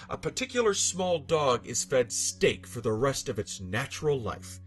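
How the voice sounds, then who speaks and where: Guy doing dramatic creepypasta narration, solemn n theatrical, mid pitch, music under it. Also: fairly bright tone. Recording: music bed behind the voice. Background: background music.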